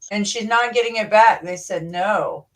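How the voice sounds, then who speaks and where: A woman's voice: mid-range voice, moderate pace, a bit bright. Chatty woman streamer, far from the mic. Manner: chatty, scattered, repeats herself. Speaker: livestream host. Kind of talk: casual livestream chat.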